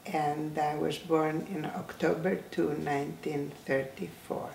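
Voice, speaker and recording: Slow, gravelly woman in her eighties. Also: deep voice, steady tone, darkish tone, slight background noise. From Greece. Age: 80s. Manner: slow, halting, with many restarts and fillers. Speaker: interviewee. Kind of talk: oral history interview. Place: indoor room, seated in a chair. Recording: roomy, slightly distant sound.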